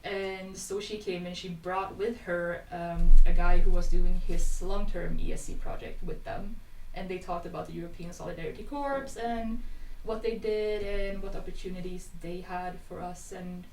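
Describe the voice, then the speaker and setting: A woman's voice: lowish voice, fairly even intonation, moderate pace, few pauses. A young woman talks in a casual, conversational way. She sounds distant from the mic in a very echoey room, with some faint noise. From Sweden. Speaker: podcast guest, youth volunteer.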